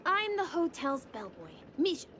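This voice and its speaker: A woman voicing an eager, polite, innocent young bellboy in a video game, bashful and excitable in delivery. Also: very high-pitched, normal speed, slight background noise, full character voice. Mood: cheerful, bashful. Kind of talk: scripted video game dialogue.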